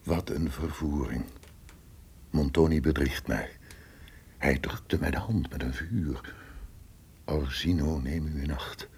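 An actor playing a scheming, suspicious conspirator who feigns friendship, with formal theatrical delivery in a gothic radio drama. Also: male voice, extremely low pitch, slow, long pauses, a little mellow, slight background noise, heavily acted. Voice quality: gravelly, husky. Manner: formal, theatrical, breaking into tense muttering.

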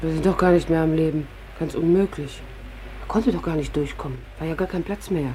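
A woman's voice: deep voice, a little fast, dark tone, slight background noise, lightly acted. An actress playing a witty, spirited, worldly woman in a 1950s radio drama, wry and articulate as she narrates and talks. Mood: exasperated.

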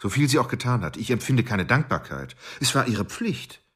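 A man's voice: medium pitch, very animated, normal speed, fairly bright tone, breathy edge. An actor playing a remorseless killer who narrates his crimes in a detached way in a radio play. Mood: cold, detached. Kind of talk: dramatic first-person radio play monologue.

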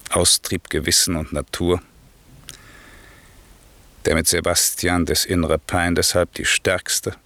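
Deep, solemn sorcerer lord. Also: male voice, creaky, pushed and bright, heavily acted. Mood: stern, forgiving. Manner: solemn, declamatory, measured. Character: stern, wronged sorcerer lord turning to forgiveness. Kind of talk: German classical radio drama verse recitation.

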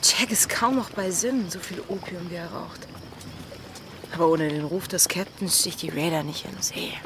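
A woman's voice: fairly low pitch, normal speed, noisy background, close to an everyday voice. An actress playing a haunted, resolute female pirate in a German radio drama, intense and brooding. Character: Anne Bonny, wanted female pirate, haunted, resolute lover. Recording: studio radio play with prominent music.

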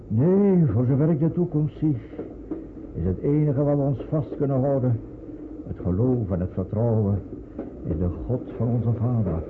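A sober middle-aged father and refugee played by a man in a radio play. He is earnest and measured, his pitch moving widely, on a tinny old narrow-band recording. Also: noisy background, natural voice. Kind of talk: radio drama acting.

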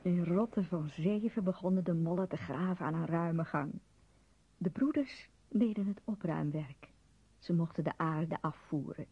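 A woman's voice: fairly low pitch, a little slow, husky. A woman narrating a fairy tale as the storyteller in a radio play. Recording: dull, faintly noisy radio recording.

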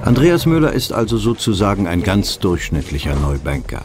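An actor playing a measured, ironically earnest narrator in a satirical radio drama. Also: male voice, sits low, sing-song, music in the background. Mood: dry, explanatory. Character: wry male narrator of a financial satire.

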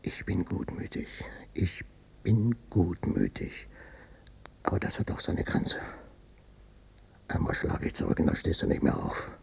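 Radio drama: short-tempered, evasive old father and ex-policeman, slow and husky. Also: deep voice, very animated, in a whisper, full character voice. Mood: grudging, threatening. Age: older. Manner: blustering, repetitive, swinging from muttering to shouting. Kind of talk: German radio drama acting.

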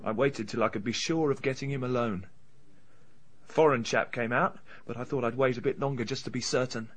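An actor in a radio drama playing a surly, hot-tempered, frightened British bully with blunt, colloquial speech. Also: male voice, medium pitch, brisk, a bit bright, lightly acted. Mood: reluctant confession.

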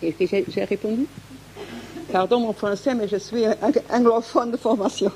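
A woman with a Portuguese accent, whose first language is Portuguese, answering questions at an academic conference in halting speech full of fillers, restarts and pauses as she searches for words. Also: fairly high pitch, fairly even intonation, darkish tone, a little hiss or hum, rough recording.

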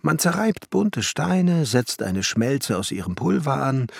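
An actor playing a brooding, devoted alchemist and glassmaker in a German radio play, musing in formal, ornate language with a husky, gravelly voice. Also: male voice, medium pitch, very animated, slow pace, dry recording, exaggerated voice. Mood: measured, absorbed.

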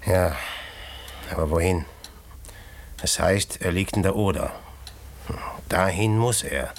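A man acting in a German radio play as an anxious, soothing husband with a dark secret, coaxing and hesitant. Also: sits low, takes it slowly, vocal fry, slight background noise, heavily acted. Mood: grim, uneasy.

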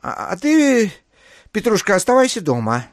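An actor playing a fussy middle-aged gentleman master who drawls and orders his servants about in an audio play. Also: high-pitched, wildly swooping pitch, moderate pace, no echo, clean studio sound. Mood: bossy. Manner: drawling, hesitant, exclaiming commands. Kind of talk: audio play acting of a classic novel.

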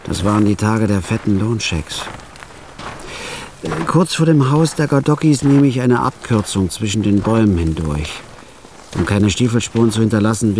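A man narrating a radio drama in the first person as a store clerk, in a flat, laconic, hard-boiled way. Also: lowish voice, slight background noise.

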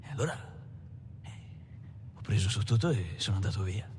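Man acting a fast-talking, boastful Italian gangster. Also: fairly low pitch, animated, lightly acted. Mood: animated, amused. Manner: colloquial, stammering repetitions, boastful. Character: swaggering Italian gangster recalling his small-time bravado. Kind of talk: dramatic monologue, conversational reminiscing. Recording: reverberant hall, background noise.

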